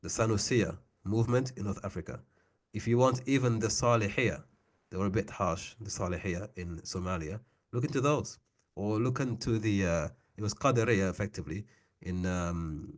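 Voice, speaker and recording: A man leading an informal book club discussion like a teacher, speaking emphatically and repeating phrases for stress. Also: low voice.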